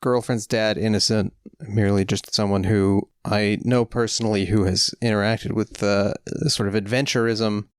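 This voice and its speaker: A man's voice: on the low side, fairly even intonation, moderate pace, hardly any pauses, smooth, no echo, clean studio sound. A man co-hosting a podcast in casual chat, joking and drifting into rambling tangents. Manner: rambling, jokey, riffs on tangents. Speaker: podcast co-host.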